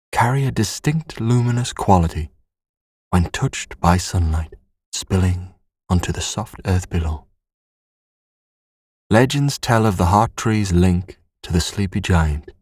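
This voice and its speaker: A man narrating a scripted sleep story in a soothing, measured, hushed bedtime manner. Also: sits low, dry recording, studio quality.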